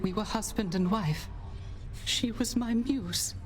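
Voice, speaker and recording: An actress playing a gentle, melancholy, resigned shade parted from a spouse in a video game, wistful and formal. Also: female voice, mid-range voice, takes it slowly, lightly acted. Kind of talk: video game character acting.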